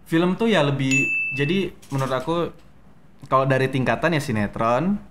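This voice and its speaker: A young man from Medan with a softened Medan Indonesian accent, a guest actor telling his story in a relaxed, hesitant way with many fillers. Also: medium pitch, moderate pace, noisy background. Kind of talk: casual interview talk.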